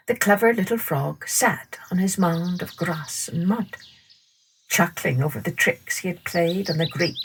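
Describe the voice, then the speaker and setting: A woman narrating a children's story in a dramatic, deliberate, expressive way. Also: on the low side.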